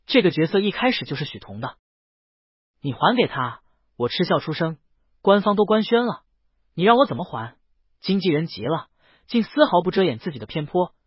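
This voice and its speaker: A male audiobook narrator reads a first-person story in a slightly high, husky voice. His intonation is very lively. The recording is dry and a little muffled. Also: a little fast, long pauses, no room sound.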